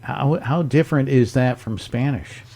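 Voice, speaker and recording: A man hosting a radio talk show, asking questions in a halting way with frequent fillers. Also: medium pitch, dark tone. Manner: halting, many fillers and restarts. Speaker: radio host. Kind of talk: radio interview.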